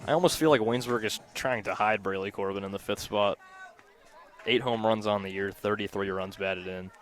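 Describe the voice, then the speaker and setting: A man with a fairly low voice gives color commentary on a softball game. His delivery is conversational radio analysis, outdoors, with faint music behind him. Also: a little fast.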